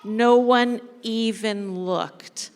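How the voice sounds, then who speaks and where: In a big reverberant hall, a woman with a high voice tells a story to a live group. She takes her time, drawing out phrases with playful emphasis and leaving pauses as she goes. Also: fairly even intonation. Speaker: church storyteller leading a live dramatic reading. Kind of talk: animated storytelling to a live audience, with asides to participants. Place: large indoor church room.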